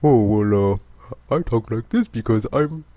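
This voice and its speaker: A man voicing a big, heavyset male game character in a halting, goofy voice. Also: fairly low pitch, animated, mellow, no echo, voice pushed up.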